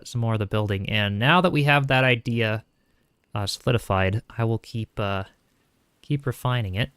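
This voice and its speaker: A young man streaming a building game, talking calmly and softly while reading chat aloud, with frequent small chuckles. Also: fairly low pitch. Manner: soft-spoken, calm, chuckles often, reads chat aloud. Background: faint game music.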